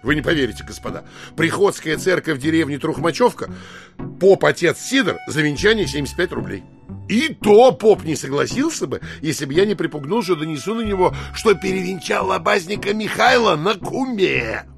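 A brazen braggart of a landowner in a theatrical radio play. His high voice is creaky and leaps about excitably as he piles boast on boast. Music accompanies him. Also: normal speed. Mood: excited bragging. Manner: excitable, swaggering, emphatic, swears to every claim. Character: brazen, boastful landowner, a compulsive tall-tale liar. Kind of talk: theatrical audiobook drama acting.

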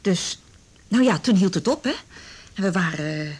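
A woman's voice: lowish voice, lively intonation, moderate pace, darkish tone, husky. An actress playing a middle-aged village mother in a radio drama, gossipy and confiding, with a hesitant, rambling way of talking. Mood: insistent, recollecting. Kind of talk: naturalistic radio drama dialogue.

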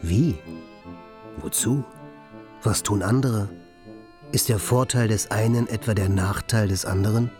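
A man's voice: on the low side, unhurried, long pauses, over music, lightly acted. Ironic young male poet played by an actor. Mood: ironic, questioning. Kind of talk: radio play acting with inner monologue.

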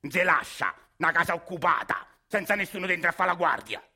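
A man's voice: on the high side, lively intonation, a little fast, bright, forceful tone, husky, exaggerated voice. An actor playing a shady, crude male landlord with a Roman accent in a radio play, talking in a coarse, sly and menacing way. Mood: sly swaggering. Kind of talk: radio theatre acting.

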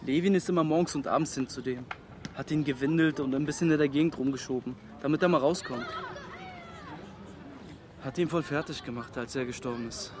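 A man's voice: mid-range voice, brisk, background noise, natural voice. A young man playing an earnest, streetwise student in a naturalistic radio drama, with slangy, emphatic talk. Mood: subdued. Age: young adult.

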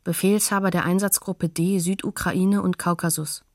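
A woman announcer with a slightly low voice gives scripted documentary narration. She is factual and measured. The recording is close and dry, with no room sound. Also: fairly even intonation, no room sound. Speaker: announcer of sources in radio play.

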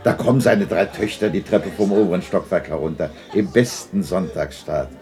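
A man's voice: low-pitched, moderate pace, dark tone, faint music behind, natural voice. A man acting a remorseful old miser moved by his memories in a 1960s German radio drama. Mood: fond nostalgia. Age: older.

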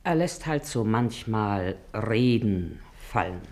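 A woman's voice: extremely low pitch, animated, takes it slowly, a little mellow, heavily acted. An actress playing a shrewd, chatty working-class mother in a German radio play, middle-aged, with a Berlin accent and a wheedling, emphatic way of talking. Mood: confidential, cautioning.

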